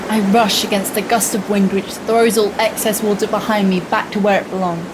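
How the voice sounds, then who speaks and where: A young woman playing an earnest, wistful girl explorer in a radio play, narrating in a measured, confiding, dramatic way. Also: medium pitch, little pitch movement, background noise, close to an everyday voice. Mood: tense wonder. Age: young adult. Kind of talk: scripted first-person radio play monologue.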